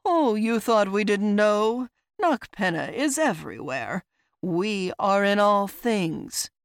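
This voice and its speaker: Woman voicing a rough, threatening male general. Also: mid-range voice, expressive, takes it slowly, no echo, studio quality, voice pushed up. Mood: smug, menacing. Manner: threatening, demanding. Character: menacing male general, secretly a sly traitor.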